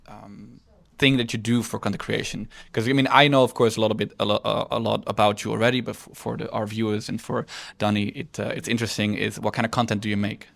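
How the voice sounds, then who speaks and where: A man co-hosting a casual podcast interview, asking questions in a halting way, often repeating words and restarting sentences. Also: lowish voice, flat delivery, creaky, fairly bright tone. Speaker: podcast co-host.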